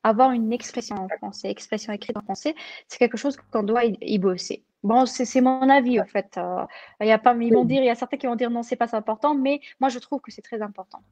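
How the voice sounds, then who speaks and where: A woman's voice: a little high, fairly quick, darkish tone, no echo. A young woman from Algeria answering interview questions, hesitant with many fillers and restarts. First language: French. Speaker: guest pharmacist.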